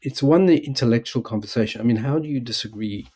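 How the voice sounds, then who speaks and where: A man from South Africa with a South African accent, a missiologist guest who talks in a halting way with restarts and stammers, over a remote call. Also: medium pitch, mellow. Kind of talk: conversational interview.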